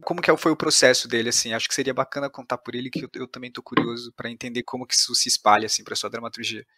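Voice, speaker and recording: A Brazilian man hosts an interview in a loose, conversational style. His voice sits at a middling pitch with a bright edge, and the sound is very dry with no room echo. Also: steady tone, rapid-fire. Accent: Brazilian Portuguese. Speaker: podcast host interviewing a guest.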